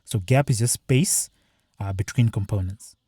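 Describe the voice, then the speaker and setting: A man presenting a software tutorial, explaining each step plainly as he walks through a screen-share. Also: lowish voice, measured pace, slightly rough, dry recording.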